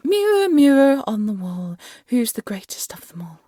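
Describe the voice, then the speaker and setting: A woman's voice: a little high, very animated, normal speed, mellow, dry recording, studio quality, faint music behind, pitched above the performer's own voice. A woman playing a vain, scheming evil fairy-tale queen in an audio drama, imperious and theatrical. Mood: impatient, imperious.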